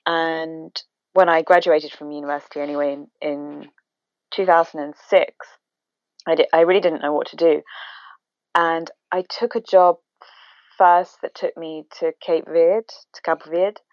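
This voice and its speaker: A woman, a documentary filmmaker, answering interview questions in a reflective, measured way over a phone call. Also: sits low, fairly even intonation, dry recording. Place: home, remote call. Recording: dull phone-call audio.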